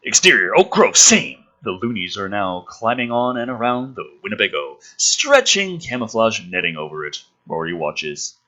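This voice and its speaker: A man's voice: on the low side, very animated, moderate pace, hardly any pauses, bright, forceful tone. A man giving a ranting, sarcastic commentary as he reads a screenplay aloud, profane and exasperated. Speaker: host of a movie script review.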